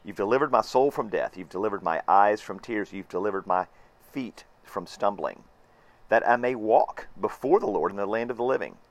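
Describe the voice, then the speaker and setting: A man, hoarse-voiced, preaching an earnest and reflective sermon with emphatic repetition. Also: fairly low pitch, animated, normal speed, no echo. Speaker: pastor.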